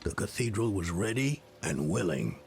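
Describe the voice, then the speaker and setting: An actor playing a world-weary older nobleman and former demon slayer in a fantasy game, grave and measured. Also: low-pitched, sing-song, gravelly, slight background noise, full character voice. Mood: defensive. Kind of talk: dramatic fantasy game acting.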